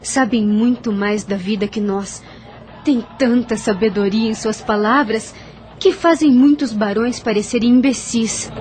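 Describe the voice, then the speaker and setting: High-voiced, gentle young wife played by an actress. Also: female voice, steady tone, a little slow, lightly acted. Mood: troubled, earnest. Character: gentle, devoted young pregnant plantation wife. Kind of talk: audio drama acting.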